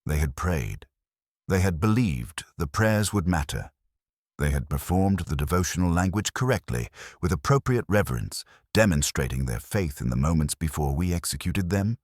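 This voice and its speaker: A man's voice: low voice, sing-song, moderate pace, darkish tone, no echo, clean studio sound. A man reading a scripted first-person dramatic story as narrator, in a detached, solemn, clipped way.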